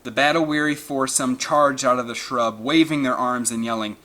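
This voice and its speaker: A man's voice: mid-range voice, steady tone, normal speed, bright, forceful tone. A man reading an adventure novel aloud as dramatic scripted narration. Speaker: audiobook narrator.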